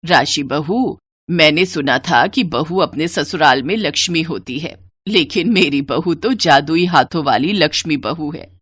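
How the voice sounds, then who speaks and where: Woman playing a low-voiced motherly village mother-in-law. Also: lively intonation, unhurried, fairly bright tone, breathy edge, no echo, full character voice. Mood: admiring. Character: older village mother-in-law, fond of her daughter-in-law.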